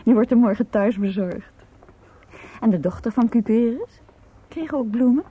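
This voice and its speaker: An actress playing a composed middle-aged mother in a radio play, chatting gently in an unhurried, conversational way. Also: a little high, expressive, husky. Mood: chatty, amused. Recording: dull, muffled old radio recording.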